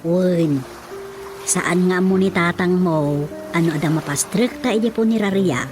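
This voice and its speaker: A woman's voice: fairly low pitch, unhurried, natural voice. An actress with a Filipino Ilocano accent playing a practical, cautious mother in a radio drama. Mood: firm, advising. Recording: music behind the voice.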